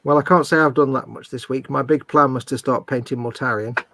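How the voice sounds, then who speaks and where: A man's voice: medium pitch, fairly even intonation, a little fast, vocal fry, fairly bright tone, dry recording. Sniffly man, dry close sound. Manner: enthusiastic, explains things eagerly. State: sniffly, stuffed-up nose. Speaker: co-host of a live hobby stream. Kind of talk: casual chat.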